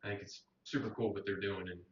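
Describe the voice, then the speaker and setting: A man chatting casually about whiskey from the back of the room, relaxed and rambling. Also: low voice, fast. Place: back of the room, away from the mic. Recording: distant, echoey, lo-fi, faint noise.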